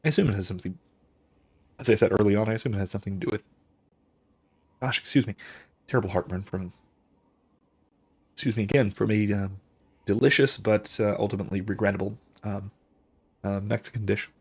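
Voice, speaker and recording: A man hosting a game stream, his voice hoarse and husky as he loses it. He rambles in a halting way, full of fillers and throat-clearing, at a lowish pitch. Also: steady tone. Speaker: host. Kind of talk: casual let's-play sign-off.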